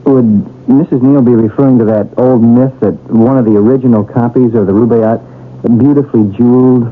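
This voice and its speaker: A man's voice: mid-range voice, steady tone, fairly quick, close to an everyday voice. An actor playing a polite, deferential man with a formal, scholarly delivery in a 1970s radio mystery. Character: polite, deferential man reading his historical paper. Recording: narrow, tinny old radio recording.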